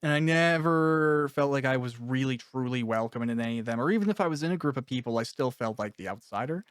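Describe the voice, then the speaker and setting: A man's voice: mid-range voice, animated, quick pace, clear, resonant tone, no room sound, studio quality. A man speaking casually and candidly as an interviewer and author, in an introspective, conversational way. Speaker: author interviewing a cast member.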